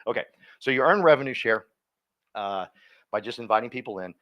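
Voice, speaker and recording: A man leads a workshop in front of a live room, his pitch swinging up and down as he teaches. He is energetic and folksy, constantly quizzing the crowd and drawing answers out of it. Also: brisk. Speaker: workshop coach. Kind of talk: interactive seminar teaching.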